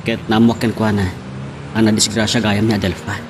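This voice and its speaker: A man's voice: on the low side, level tone, a little fast, background noise, close to an everyday voice. A man playing a serious, concerned boss in an Ilocano radio drama.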